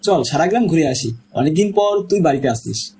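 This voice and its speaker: A man's voice: a little high, animated, fairly quick, darkish tone. A man acting practical, matter-of-fact male characters in an audio story. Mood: casual. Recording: faint background noise.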